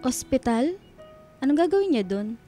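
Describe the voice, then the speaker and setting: High-voiced, resentful Filipino teenage daughter. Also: female voice, big pitch swings, normal speed, warm tone, faint music behind. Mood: subdued, sullen. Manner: accusing, bitter, emotional. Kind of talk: Filipino radio drama acting.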